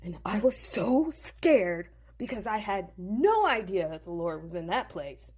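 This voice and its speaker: A woman's voice: mid-range voice, very animated, a little mellow, breathy edge. A woman voicing a slangy, hot-headed young man in love, in a storybook audio drama. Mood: earnest. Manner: slangy, quick to outrage.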